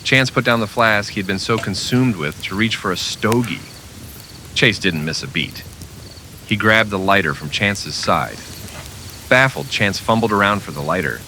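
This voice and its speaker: A man's voice: fairly low pitch, animated, normal speed, sharp tone, a little hiss or hum. A man narrating a scripted old-time western radio drama with dramatic storytelling delivery.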